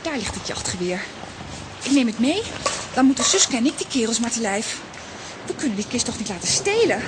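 A woman playing a plucky, bossy young girl in a children's audio drama, brisk and scolding. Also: a little high, very animated. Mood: worried, resolute. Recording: noisy audio with rain behind.

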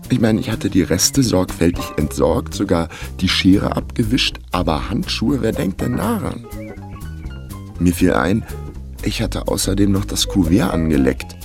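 Wry broke young guy narrating his radio crime story, deep gravelly voice, dry n laconic, bit of music under. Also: sing-song, unhurried, full character voice. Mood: rueful, dry. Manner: dry, laconic, self-ironic. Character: wry broke young Berlin man telling his own misadventure. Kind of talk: first-person radio play narration.

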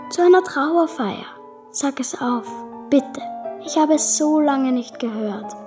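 Tender knowing dream lady, high voice, melancholy, music behind, radio drama. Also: expressive, takes it slowly. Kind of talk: radio play acting.

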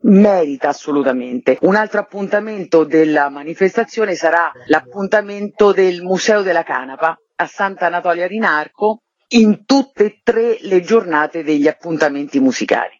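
A woman's voice: on the low side, moderate pace, no room sound. A woman artistic director giving programme information as a guest in a radio interview. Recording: dull, narrow-band sound.

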